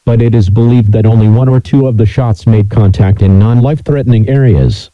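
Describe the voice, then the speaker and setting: A man reading a scripted emergency broadcast announcement in a formal, mechanical monotone. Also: on the low side, dry recording, lo-fi sound.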